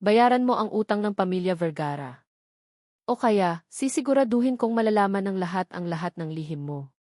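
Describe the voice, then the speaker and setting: A woman's voice: medium pitch, animated, moderate pace, a little mellow, no echo, clean studio sound, lightly acted. A woman voicing a cold, threatening old rich patriarch in an audio drama. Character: menacing old wealthy patriarch, threatening blackmailer.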